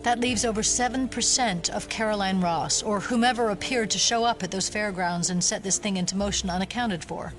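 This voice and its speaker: A woman's voice: mid-range voice, a little fast, hardly any pauses, music in the background, close to an everyday voice. A woman playing a determined, sceptical FBI agent, formal and insistent, in an audio drama. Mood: urgent, probing.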